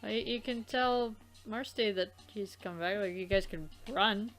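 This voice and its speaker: A woman co-hosting a game let's play, chatting casually and haltingly, often repeating words. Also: mid-range voice, a bit bright, faint music behind. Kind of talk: casual rambling chat.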